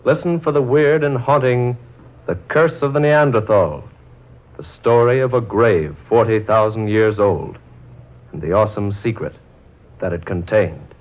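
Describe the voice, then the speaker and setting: A man's voice: on the low side, a little slow. A man with an American accent announcing from a script in 1940s radio style. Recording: muffled 1940s radio broadcast.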